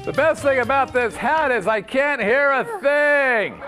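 A man's voice: very high-pitched, unhurried, music in the background, pitched above the performer's own voice. A man putting on a silly Russian accent as a boisterous podcast host. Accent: put-on Russian.

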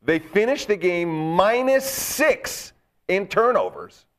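A man hosting a sports betting show, with a gravelly voice and an animated, chatty delivery. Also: high voice. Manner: animated, talks up his bets with quick asides. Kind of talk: casual sports betting talk.

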